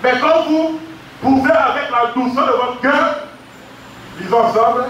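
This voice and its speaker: Male preacher, very high bright voice, heard from a distance in a booming, echoey church hall. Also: dull audio, slight background noise. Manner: preaching with call-and-response, drawn-out emphasis. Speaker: pastor. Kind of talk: sermon.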